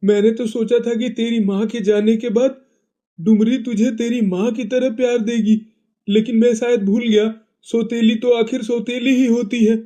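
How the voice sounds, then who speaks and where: Man acting a very high-pitched, guilt-ridden cartoon father. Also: steady tone, normal speed, mellow. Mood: remorseful. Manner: apologetic, placating. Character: guilt-ridden, weak-willed middle-aged village father. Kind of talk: Hindi moral-story cartoon dubbing.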